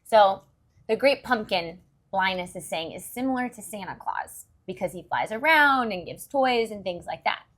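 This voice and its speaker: A woman's voice: mid-range voice, animated, moderate pace, fairly bright tone. A woman reading a story aloud to young children, expressively, with simple explanations along the way. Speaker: children's story reader and host.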